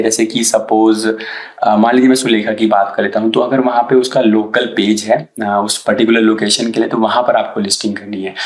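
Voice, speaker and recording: Guy with a scratchy sore throat explaining seo stuff, kinda low voice, roomy echo. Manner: casual, hesitant fillers, mixes English terms. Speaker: SEO tutorial creator. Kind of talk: explanatory tutorial in Hindi-English mix. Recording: echoey room.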